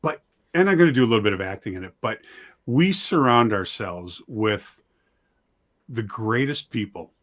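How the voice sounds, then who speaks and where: A man's voice: on the low side, expressive, normal speed, fairly bright tone. A man talking casually as an interview guest, plain-spoken and self-deprecating, emphatic about what he believes. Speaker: guest, audio drama writer and director.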